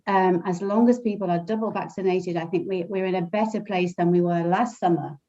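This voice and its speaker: Woman, mellow low voice, lo-fi video call sound, panel talk, repeats words. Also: brisk. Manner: animated, repeats words while thinking.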